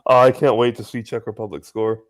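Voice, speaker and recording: A man's voice: mid-range voice, fairly quick. A young American man in his early twenties chats as a guest on a video call. He tells stories with lots of energy, laughing often and swearing freely. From United States. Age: early 20s. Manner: animated storyteller, laughs and swears a lot. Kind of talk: casual chat on a video call.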